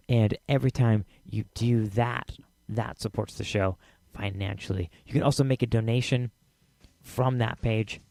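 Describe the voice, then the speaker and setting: A man's voice: on the low side, creaky, a little mellow, no room sound. A man hosting a podcast on his own, talking casually with many fillers and repeats, his voice scratchy as he loses it. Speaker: podcast host. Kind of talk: solo podcast talk.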